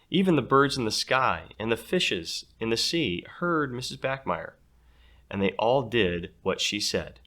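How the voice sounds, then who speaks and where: A man reading a children's story aloud in an expressive, playful way. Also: mid-range voice. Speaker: storybook reader.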